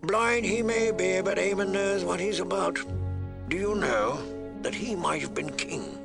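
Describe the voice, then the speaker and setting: A man reading a gruff old military commander in a fantasy audiobook, blunt and knowing, with a gravelly voice. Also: high voice, a little slow, over music, pitched above the performer's own voice. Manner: animated storytelling, blunt.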